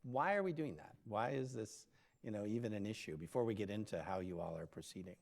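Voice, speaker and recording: A man's voice: on the low side, brisk, creaky. A man moderating a live conversation, posing organized questions in a friendly way. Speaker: moderator, professor.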